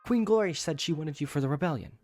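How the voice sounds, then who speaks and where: A man's voice: fairly high pitch, lively intonation, quick pace, darkish tone, faint music behind, close to an everyday voice. A man playing a cheeky young dragon messenger in an audio drama, reedy and offhand, flippant and a bit impatient. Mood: nervous, offhand.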